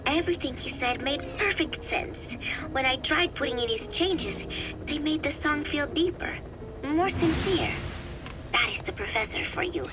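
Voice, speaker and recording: An actress playing a wistful young woman, speaking softly and deliberately in an intimate taped diary for a video game. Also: high-pitched, animated, noisy background. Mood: bright, proud.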